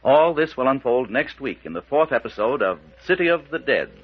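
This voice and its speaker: Theatrical male radio announcer, widely swinging pitch. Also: big pitch swings, fairly quick. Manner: suspenseful, theatrical. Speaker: serial announcer. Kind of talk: dramatic scripted old-time radio narration. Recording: dull, band-limited old radio recording.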